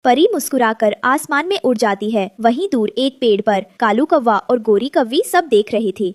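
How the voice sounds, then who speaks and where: A woman's voice: on the high side, animated, moderate pace. A woman narrating a scripted children's story in a dramatic storytelling style.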